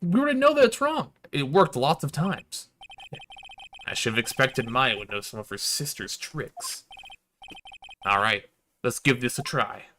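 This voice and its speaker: A man's voice: mid-range voice, big pitch swings, a little slow, pauses often, sharp tone, slight background noise. A man voicing an earnest young defense attorney from a courtroom visual novel game.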